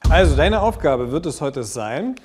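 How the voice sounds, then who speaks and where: A male host puts questions briskly in a slightly raised voice, keeping things moving. A clear music bed runs under him. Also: a little high, big pitch swings. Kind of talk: casual interview questions.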